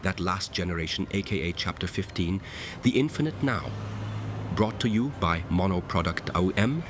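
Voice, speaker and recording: A man's voice: sits low, lively intonation, normal speed, noisy background. A man delivering a theatrical, satirical radio-play monologue in a wordy, mock-grand manner.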